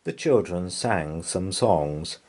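A man reading a children's picture book aloud in a gentle, lively, sing-song way. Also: low-pitched, takes it slowly, a little hiss or hum. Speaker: storybook reader.

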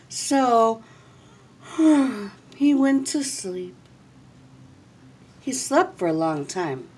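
A middle-aged woman reading a children's story aloud expressively, with dramatic pauses and drawn-out words. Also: high voice, darkish tone, husky. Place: small indoor room.

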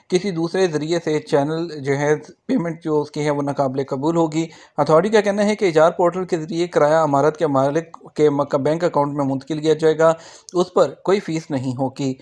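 A man's voice: fairly high pitch, a little mellow. A man reading scripted news items as a narrator for an online channel, in a formal manner.

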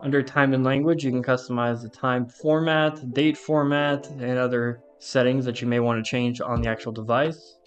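Male tutorial presenter, mid-pitched, conversational and informal, walking through steps with music behind. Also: steady tone, moderate pace, few pauses. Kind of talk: casual step-by-step software walkthrough.

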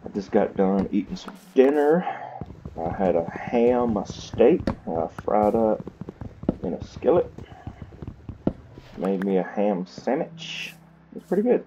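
A man with a Southern US accent gives casual, rambling game commentary in a lowish voice. He talks at a very unhurried pace, mostly to himself. Clear background noise and clicks run through the home recording. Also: very animated, dull audio. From Southern United States. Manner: self-talking, rambling. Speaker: gaming series host.

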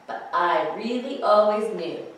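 A woman's voice: mid-range voice, lively intonation, measured pace, heavy reverb, far from the mic, lightly acted, rough recording. A woman voicing a bossy, caring mother duck giving orders to her ducklings, in a storybook read-aloud. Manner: commanding, motherly.

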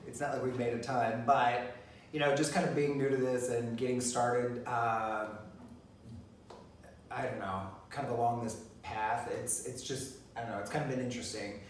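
A man's voice: mid-range voice, steady tone, moderate pace, recorded from a distance, a little hiss or hum. A middle-aged man co-hosting a casual chat, rambling with lots of fillers and restarts. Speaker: co-host. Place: living room, seated on a leather sofa. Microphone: phone camera from across the room. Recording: very echoey room, voice off-mic.

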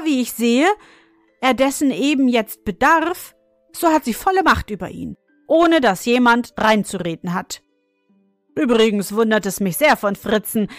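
Woman doing stern father, measured, lecturing, storybook. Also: a little high, dry recording, close to an everyday voice. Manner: measured, admonishing. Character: stern, fair-minded father, calm and lecturing.

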